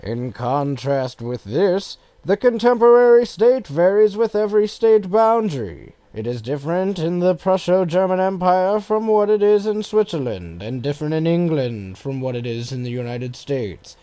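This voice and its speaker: A man reading formal written passages for an audiobook in an expressive way. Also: a little high, normal speed, hardly any pauses, clean tone, no room sound. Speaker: audiobook reader of quoted passages. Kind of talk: scripted narration, reading quotations.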